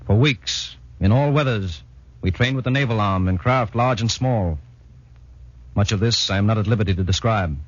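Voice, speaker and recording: A man's voice: lowish voice, moderate pace, no echo, natural voice. An actor playing a commando soldier who narrates his training days in a 1940s radio drama. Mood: measured, reminiscing. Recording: muffled 1940s radio broadcast, music behind.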